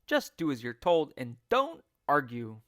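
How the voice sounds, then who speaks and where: A man's voice: mid-range voice, huge pitch swings, measured pace, fairly bright tone, no room sound, clean studio sound. A man voicing a frantic, bossy monkey father in a children's storybook, urgent and commanding. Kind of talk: children's storybook character reading.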